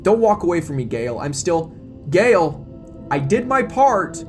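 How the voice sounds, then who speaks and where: A needy, aggrieved man who craves attention , as a man acts him out. His pitch rides high in this audio drama, over music. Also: sing-song, measured pace. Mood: upset. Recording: music bed behind the voice.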